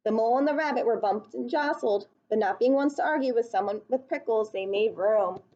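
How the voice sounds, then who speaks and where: Higher-voiced woman reading aloud to young children. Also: brisk, dull audio. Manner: child-directed, expressive, asking the listeners questions. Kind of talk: picture-book read-aloud with chatty asides to children.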